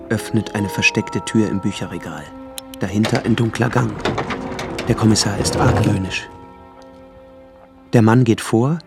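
Radio drama narrator male actor: clipped, suspenseful scene descriptions, lowish register, over prominent music. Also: natural voice. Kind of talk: scripted scene narration, screenplay-like.

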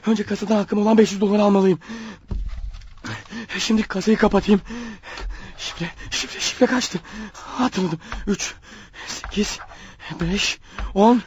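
An actor playing a panicky, guilt-ridden clerk turned killer in a radio play, stammering and talking nervously to himself. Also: male voice, high-pitched, steady tone, unhurried, long pauses, slight background noise. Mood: nervous, muttering. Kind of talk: radio theatre acting.

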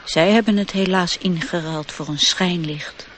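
Performer as nature sprite, radio drama, knowing, disdainful, lecturing, mid-range pitch, faint background noise. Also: measured pace, fairly bright tone, close to an everyday voice. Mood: scornful. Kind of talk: radio play acting.

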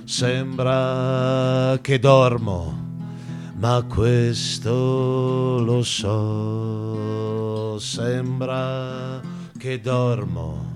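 Male singer, extremely slow ballad phrasing, prominent guitar accompaniment. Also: medium pitch. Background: plucked guitar.

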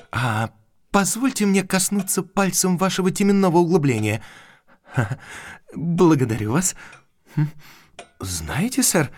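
An actor playing a young, eager, unpractical country doctor in a Russian audio drama, effusive and courteous with hesitant pauses. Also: male voice, high voice, a little mellow, no room sound, heavily acted. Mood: fascinated.

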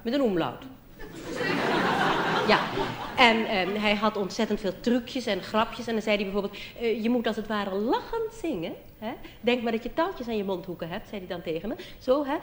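A woman's voice: mid-range voice, lively intonation, moderate pace, roomy sound, slight background noise. A young woman with a Netherlands Dutch accent doing comic stage banter as a cabaret performer. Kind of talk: comic stage banter about singing lessons.

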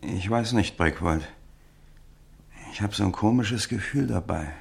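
An actor playing an uneasy, suspicious seller in a German radio drama, speaking warily and reluctantly. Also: male voice, fairly low pitch, a little slow, gravelly, husky, heavily acted. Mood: wary, suspicious. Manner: wary, reluctant.

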